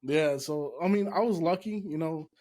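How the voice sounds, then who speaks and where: A man from California whose first language is Spanish. He is a comedian on a podcast, telling stories from his childhood in a casual chat, and his voice sits a little high. He swears casually as he goes. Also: moderate pace, darkish tone. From California, USA. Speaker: comedian guest.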